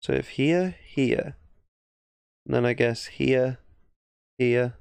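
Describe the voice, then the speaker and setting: A man's voice: medium pitch, slow pace, vocal fry, a little mellow, clear, resonant tone, no echo. A man with an English accent giving casual, thinking-aloud game commentary as a chess streamer, often muttering half-finished thoughts. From England.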